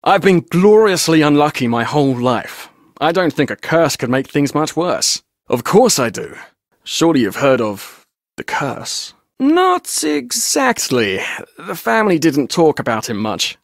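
A roguish, chatty tour guide from an adventure video game. His voice sits slightly high for a man and moves with very lively intonation. He talks like a glib, jaunty showman telling tales, recorded dry with no room sound. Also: unhurried, creaky, dry recording, exaggerated voice. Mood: breezy, joking. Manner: glib, jaunty, theatrical storyteller. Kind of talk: video game character dialogue.

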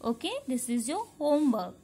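A woman's voice: high voice, big pitch swings, mellow. A woman teacher with an Indian English accent, explaining a grammar lesson to young pupils and repeating each sentence patiently. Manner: slow, repetitive, patient explaining, checking understanding. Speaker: schoolteacher. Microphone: phone camera held over a workbook.

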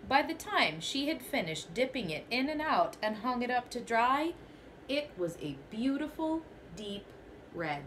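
Expressive young woman reading a picture book. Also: a little high, measured pace, slight background noise, poor audio. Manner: expressive storytelling, first person as the goat. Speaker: teacher. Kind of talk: children's picture book read-aloud. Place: small bedroom, indoors.

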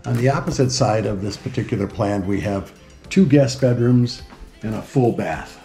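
A man's voice: fairly low pitch, normal speed, warm tone. A man working as a home builder explains a house on a tour in a matter-of-fact, informative way. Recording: roomy indoor echo with music behind. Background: background music.

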